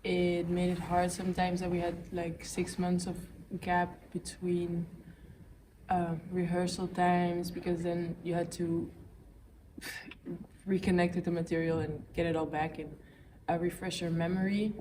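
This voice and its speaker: Young Belgian woman, 20, low flat measured voice, off-mic. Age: 20s. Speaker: young actor interviewed. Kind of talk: casual interview answers. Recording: distant mic with background noise.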